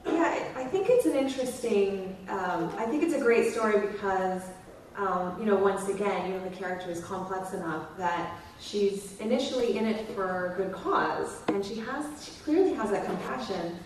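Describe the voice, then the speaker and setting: A woman psychologist explains her views on a convention panel, thoughtful and analytical, pausing on fillers. Her pitch is mid-range. She sounds far from the mic in a heavily echoing room with a faint hum behind. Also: darkish tone. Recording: echoey room mic from afar.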